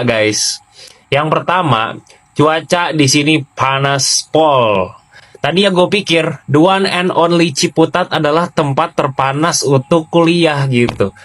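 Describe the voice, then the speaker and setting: A man's voice: a little high, a bit bright. A young man from Indonesia, chatting casually to viewers as a student creator. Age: young adult. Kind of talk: casual slangy chat to camera.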